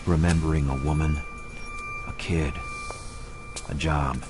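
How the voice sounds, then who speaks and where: A man voicing a brooding amnesiac narrator in a noir horror game, speaking in a hushed, half-whispered, slow way. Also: extremely low pitch, fairly even intonation, background noise. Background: music.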